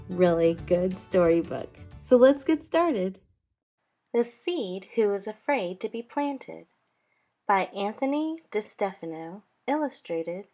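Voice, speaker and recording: A woman narrates a rhyming picture book for children. Her pitch sits in the middle and her pace is slow. She reads with expressive, deliberate rhythm, as a storytime reader does.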